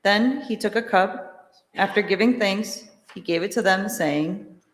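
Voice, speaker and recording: A woman narrating a dramatic scripture reading in a measured, formal manner. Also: mid-range voice. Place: large church during a service. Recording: echoey church, long reverb.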